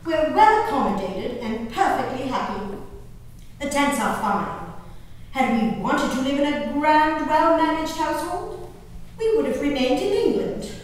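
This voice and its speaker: Genteel colonial lady diarist played by a woman, slow impassioned declamation, theatrical pauses, distant in a cavernous echoing hall. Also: high voice, expressive. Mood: indignant. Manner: declamatory, deliberate, with dramatic pauses. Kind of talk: theatrical dramatic reading of historical diaries. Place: live stage performance in a reverberant hall. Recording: very echoey hall from far off, noisy.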